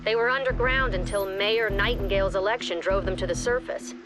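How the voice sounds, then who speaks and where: A woman voices a game's briefing officer who speaks in crisp, clipped sentences. Her voice sits mid-range and stays level. Soft score music plays underneath. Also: mid-range voice, a little fast, lightly acted. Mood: calm. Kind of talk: game cutscene voice acting.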